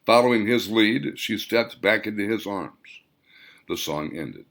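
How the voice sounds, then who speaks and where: A man reading his own novel as an audiobook, with dramatic, expressive storytelling. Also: fairly low pitch, unhurried, gravelly. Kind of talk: scripted audiobook narration.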